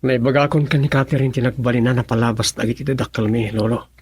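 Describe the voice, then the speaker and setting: A man's voice: medium pitch, steady tone, quick pace, slightly rough, close to an everyday voice. An actor playing an earnest, troubled young man in love in a melodramatic radio drama. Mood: worried.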